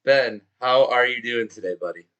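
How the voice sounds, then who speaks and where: A man with a hoarse, worn-out voice hosting a live music show in a chatty, pumped-up way. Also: mid-range voice, moderate pace, a bit bright, muffled recording. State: hoarse, has lost his voice. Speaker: show host.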